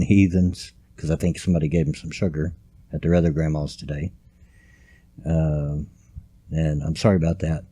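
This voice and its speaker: Deep-voiced older man. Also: dark tone, dry recording. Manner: folksy, rambling, drawling. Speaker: vlogger. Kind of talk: casual grumpy commentary. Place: home at night, quiet room.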